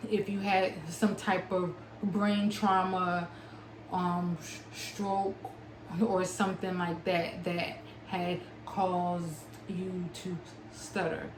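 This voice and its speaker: A young adult woman talking to her viewers, stuttering with long pauses and blocks and smacking her lips between words. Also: on the low side, reverberant, slight background noise, low-quality recording. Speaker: small business owner and author. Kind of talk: personal talk to camera.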